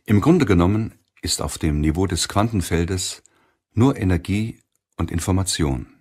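A man reading a self-help audiobook in a measured, deliberate way with pauses. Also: deep voice, animated, darkish tone, husky. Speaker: audiobook narrator. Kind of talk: scripted audiobook narration of a self-help book.

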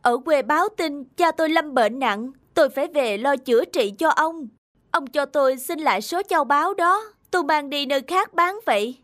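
High, insistent cartoon seller. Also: female voice, normal speed, dry recording, studio quality. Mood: pleading. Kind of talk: animated folk-tale cartoon acting. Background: loud instrumental music.

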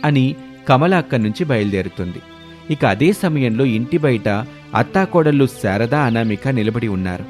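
A man's voice: medium pitch, lively intonation, moderate pace, a little mellow, faint music behind. A man narrating a scripted moral story in a dramatic storytelling style.

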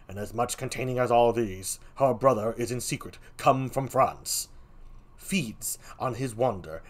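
A man voicing a troubled, scheming middle-aged king, speaking in a hushed, brooding way. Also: medium pitch.

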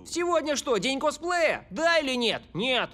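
An actress playing a cocky, eager young footballer in a cartoon dub. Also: female voice, high voice, big pitch swings, a little slow, a bit bright, heavily acted. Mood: bemused. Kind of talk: animated cartoon dubbing. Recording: music playing behind the voice.

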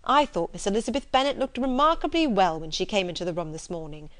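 A woman reading a period novel, voicing a good-natured, gallant young gentleman in an earnest, courteous way. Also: mid-range voice, quick pace, lightly acted. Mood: earnest defence. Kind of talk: audiobook character dialogue from a period novel.

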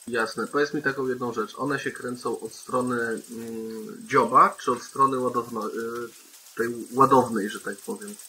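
A man with a bright, crisp-edged voice talks casually as a player in a roleplaying game. He sets out his intentions informally, with faint noise and insect-like sounds behind. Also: medium pitch, fairly even intonation, measured pace, poor audio.

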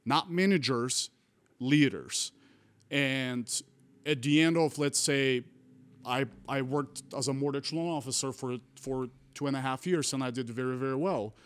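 A young man from Bulgaria with a Bulgarian accent, talking earnestly and haltingly as a podcast guest on a studio mic. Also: mid-range voice, clear, resonant tone. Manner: earnest, halting, restarts often. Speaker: guest, real estate wholesaler. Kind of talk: casual interview talk. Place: studio set with mountain mural. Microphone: studio mic on boom arm.

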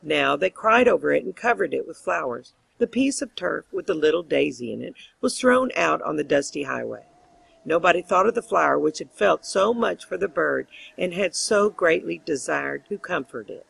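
A woman reading a fairy tale aloud as an audiobook, in expressive, measured storytelling. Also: on the low side, gravelly, breathy edge, dry recording, poor audio. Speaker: audiobook reader.

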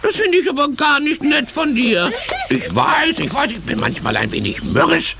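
A man's voice: big pitch swings, moderate pace, background noise, full character voice. A man playing a spiteful, gleeful grump villain in a children's audio play, with exaggerated, gloating delivery. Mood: feigned sweetness. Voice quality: high, creaky, cackling. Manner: exaggerated, swooping, gloating, drawn out.

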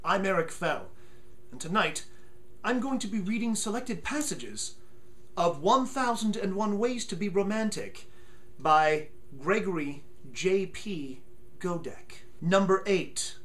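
A man in his thirties reads aloud in a mock-solemn, theatrical way. His voice sits high for a man and swoops widely in pitch. He takes his time, leaning on deliberate, emphatic pauses. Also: big pitch swings, a bit bright. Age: 30s. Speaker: comic performer reading aloud. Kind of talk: mock-solemn dramatic reading from a book. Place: small furnished room at home, indoors.

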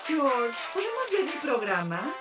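A woman's voice: sits high, huge pitch swings, unhurried, recorded from a distance, over loud music, full character voice. A woman voicing a spirited, playful female hippo in a cartoon. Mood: casual. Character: spirited female hippo playing at space heroes. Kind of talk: Spanish-dubbed cartoon acting.

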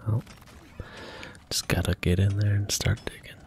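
A man softly talking through a video game in whispered ASMR style, slow and gentle. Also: fairly low pitch, little pitch movement, gravelly, a little hiss or hum. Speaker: ASMR gaming channel host. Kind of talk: soft-spoken ASMR game commentary.

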